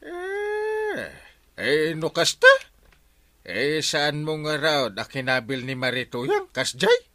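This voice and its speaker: Flustered, excitable village captain, very swoopy. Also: male voice, on the high side, slow, dry recording, heavily acted. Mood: questioning, exasperated. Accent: Ilocano. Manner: stammering, whiny, exclaiming. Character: flustered, excitable village captain, comic middle-aged man. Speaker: actor in a radio comedy drama. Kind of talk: Ilocano radio comedy drama acting.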